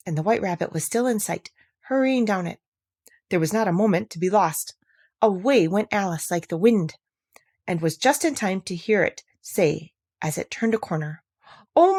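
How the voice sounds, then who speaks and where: A woman reading a children's story aloud as expressive narration. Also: medium pitch, no room sound, clean studio sound. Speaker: audiobook reader.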